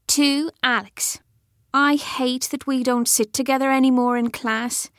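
A woman's voice: sits high, fairly even intonation, measured pace, a bit bright, no echo, clean studio sound. An actress reading letters as a frank little schoolgirl, talking in plain, childlike short sentences. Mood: disgusted. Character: outspoken little schoolgirl writing frank notes to friend.

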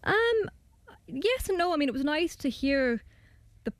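A young Irish woman with a slightly high voice, recorded dry and close. She talks things through thoughtfully, sometimes hesitating. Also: very animated, measured pace, no echo. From Ireland. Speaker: guest and documentary maker. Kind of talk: interview chat and scripted narration.

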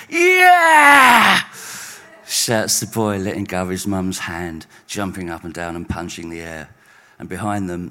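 A man reading his overheard-conversation stories at a live spoken-word night in a pub, wry and deadpan. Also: deep voice. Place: pub venue stage.

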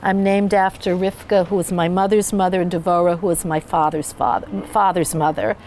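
A woman being interviewed, recalling her family's history in a halting, careful way. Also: lowish voice, steady tone, a little mellow, slight background noise. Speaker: interview guest, descendant of Holocaust survivors. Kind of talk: personal family recollection in conversation.

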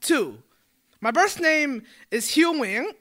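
A young male poet performs spoken word in a high voice. His tone is bright with a slight edge of tension. He moves through numbered verses in an earnest, measured recitation. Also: big pitch swings.

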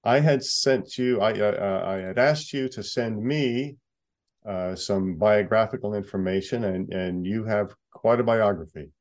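A man interviewing a guest over a video call, friendly and halting, with many restarts. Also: lowish voice, darkish tone. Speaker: interviewer, associate editor. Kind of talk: casual interview conversation.

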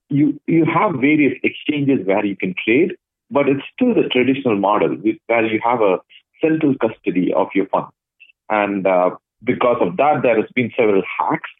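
Guest from India, hesitant and thoughtful, thin phone-line sound, dry. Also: male voice, lowish voice, dry recording. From Chennai, India. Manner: thoughtful, hesitant with frequent uhs. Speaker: guest, blockchain project lead. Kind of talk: interview answers.

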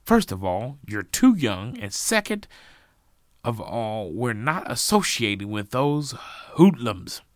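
A man acts out an irate parent in a melodramatic story. The voice is pushed up high and swings wildly, shouting scornful threats with heavy, stretched emphasis. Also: very animated, takes it slowly, dry recording, studio quality. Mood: forbidding. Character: furious feuding parent, stubborn, scornful and threatening.

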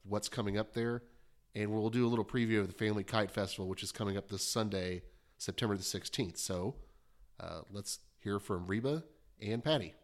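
A man's voice: fairly low pitch, a little fast. A man with a stuffy nose from a cold, hosting an interview in a friendly, chatty, self-deprecating way. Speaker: podcast host.

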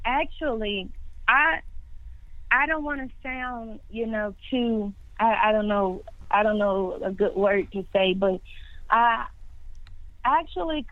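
A woman's voice: fairly high pitch, dry recording. Young woman on a tinny phone line. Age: 20s. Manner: warm, faithful, repeats words and restarts often. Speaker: singer guest. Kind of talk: casual interview answers.

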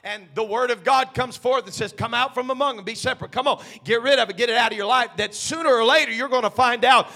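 A male pastor preaching a sermon, swinging from hushed asides to shouted climaxes. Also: very high voice, quick pace, few pauses.

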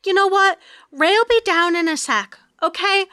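A woman's voice: extremely high pitch, measured pace, pushed and bright, no echo, clean studio sound. A woman acting out lines from a sitcom script at a table read.

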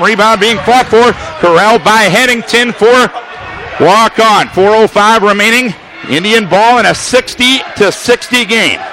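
A man calling a basketball game on radio, giving a quick, detailed call of every pass along with the score and the clock. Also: sits high, noisy background. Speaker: play-by-play announcer.

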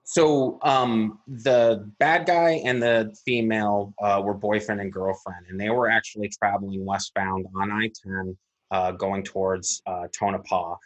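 A man with a husky voice, an interview guest recounting events methodically, step by step, with frequent pauses. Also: fairly low pitch, fairly even intonation, poor audio. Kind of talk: recounting a personal incident in an interview.

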